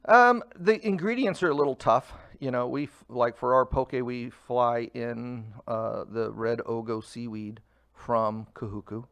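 Relaxed man, dry close sound. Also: medium pitch, a little slow, smooth, no echo. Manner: relaxed, rambling with fillers. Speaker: food truck owner. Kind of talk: casual interview talk.

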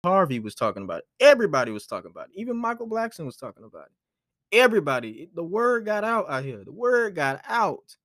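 A twenty-year-old man hosting his solo podcast, rambling in a relaxed way with lots of fillers. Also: high voice, fast talker, no room sound, clean studio sound. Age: 20s. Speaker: podcast host.

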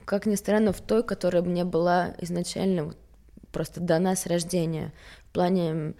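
A young woman from Sweden speaks in a low, gravelly register with plenty of vocal fry. She is a model being interviewed, and she tells things candidly, wandering between thoughts and poking fun at herself. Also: level tone, moderate pace, dark tone. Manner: candid, rambling, self-mocking. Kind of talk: casual chat.

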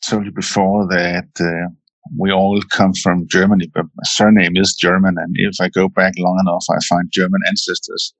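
A Danish man in his fifties, a lawyer speaking English as a guest, pausing and repeating words as he searches for phrasing. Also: sits low, flat delivery, a bit bright, no echo, poor audio. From Denmark. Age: 50s. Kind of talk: casual interview chat.

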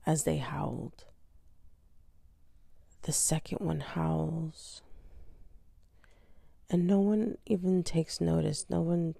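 A woman hosting her own podcast, her voice raspy and heavily gravelly from just waking up. She speaks slowly and softly, often half-whispering, with a dark, husky tone and plenty of hesitations. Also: deep voice, no echo. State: raspy just-woken morning voice. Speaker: podcast host. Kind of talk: casual solo storytelling.

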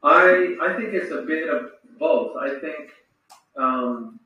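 A middle-aged man from Saskatchewan, a retired hockey player slowly answering children's questions with a reflective manner and restarts. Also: fairly high pitch, big pitch swings, dull audio. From Saskatchewan, Canada. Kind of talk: thoughtful Q&A with children. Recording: roomy, echoey sound from far off.

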